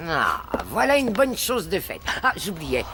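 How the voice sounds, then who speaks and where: A woman's voice: lowish voice, wildly swooping pitch, measured pace, noisy background, full character voice. A woman voicing a haughty, scheming lady in a French cartoon, mocking and falsely sweet. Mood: condescending.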